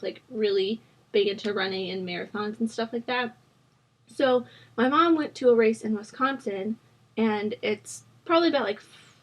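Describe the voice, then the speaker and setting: A young woman in her twenties, hoarse from a sore throat, chatting casually and rambling with plenty of ums and likes while she narrates a building game. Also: fairly high pitch. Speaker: Sims building channel host. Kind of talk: casual voice-over chat during a speed build.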